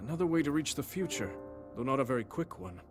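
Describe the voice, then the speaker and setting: An actor playing a wise non-human king, speaking in a calm, reassuring, deliberate way. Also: medium pitch, over music, lightly acted. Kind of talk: game cutscene voice acting.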